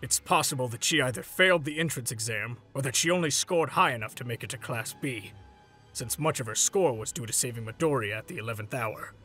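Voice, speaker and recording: A man's voice: high voice, lively intonation, takes it slowly, gravelly, long pauses, pushed and bright, full character voice. A man voicing a big, middle-aged hero in a comic dub, exasperated and theatrically emphatic. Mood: grave, resigned. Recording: music behind.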